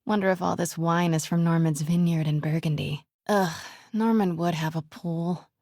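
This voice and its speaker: A woman playing a wry, curious, quick-witted young woman in a video game, muttering to herself as she sneaks around, with a breathy voice. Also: on the low side, steady tone, no room sound, studio quality. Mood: wry, sardonic. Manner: wry, hushed self-talk.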